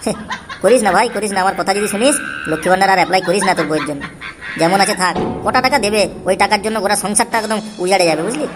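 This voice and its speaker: A man voicing a disgruntled, coarse husband in an amateur comedy cartoon, grumbling and hectoring, with artificially raised pitch. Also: racing. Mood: bitter, grumbling. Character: disgruntled, bitter husband railing at his family. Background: faint music.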